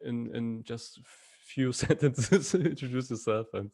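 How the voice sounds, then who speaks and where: A man's voice: medium pitch, slow, a little mellow. A man hosting an interview podcast over a video call, asking questions hesitantly with many fillers. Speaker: podcast host.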